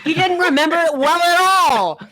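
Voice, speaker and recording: A man's voice: very high voice, animated, moderate pace, fairly bright tone, slight background noise, low-quality recording. A man reading a character's lines aloud in a joking group story reading. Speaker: character reader in a group fan fiction reading. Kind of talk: acted dialogue reading with joking asides.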